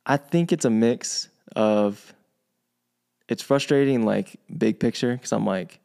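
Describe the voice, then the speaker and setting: A man who grew up in Virginia, co-hosting a podcast in relaxed conversation, thoughtful and full of restarts and asides. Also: mid-range voice, takes it slowly, creaky, smooth. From United States, grew up in Virginia. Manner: thoughtful, many restarts and asides, animated when quoting. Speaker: podcast co-host. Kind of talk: casual conversational chat.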